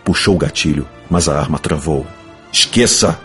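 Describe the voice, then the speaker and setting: A man's voice: very deep voice, a little fast, a little mellow. A man narrating a fantasy novel in a dramatic, scripted audiobook style. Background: music.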